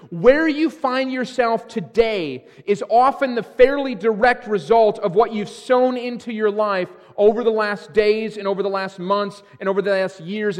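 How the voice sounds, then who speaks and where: A middle-aged pastor delivers a sermon in a high, lifted voice. He presses his points with emphasis and often restarts his phrases. The sound rings with the long reverb of a church sanctuary. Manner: emphatic preaching with frequent restarts.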